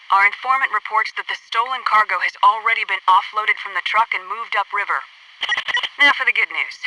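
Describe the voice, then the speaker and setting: American military hq woman on the radio, super crisp forceful tone, clipped and bossy game briefing, kinda distant. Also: medium pitch, dry recording. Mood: grave, businesslike. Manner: clipped, authoritative, formal. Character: composed, commanding female military headquarters officer. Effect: radio filter. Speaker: mission control officer.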